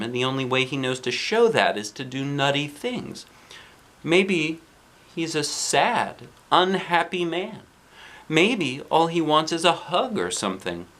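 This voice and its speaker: A man voicing a thoughtful, know-it-all young schoolgirl in a storybook, reasoning in a measured, earnest way. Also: fairly high pitch, a bit bright, pitched above the performer's own voice.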